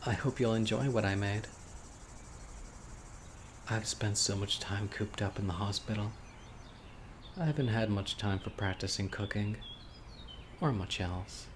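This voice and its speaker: A man playing a gentle, eager, critically ill young man with a secret obsessive streak on a date, in an audio roleplay, speaking hesitantly and softly with muttered asides. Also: on the low side, a little slow, pauses often, slight background noise, close to an everyday voice. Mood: wistful, gentle. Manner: hesitant, soft-spoken, with muttered whispered asides. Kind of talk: roleplay audio drama, intimate boyfriend monologue. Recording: outdoor nature ambience, birds and insects. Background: birdsong, crickets and insects.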